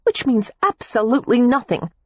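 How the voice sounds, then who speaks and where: A woman's voice: on the high side, big pitch swings, normal speed, a little mellow, husky, no echo, dull audio, voice pushed up. A woman reading an audiobook, voicing a fiery young woman who is indignant and hurt, in a raised, heated, accusing tone. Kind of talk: audiobook character voice.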